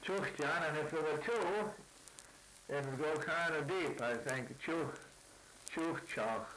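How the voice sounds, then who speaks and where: An older man working through words with a speaker of another language, repeating each one back slowly and asking plain questions. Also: on the high side, sharp tone, a little hiss or hum, low-quality recording. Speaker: interviewer learning native words. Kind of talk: word elicitation session.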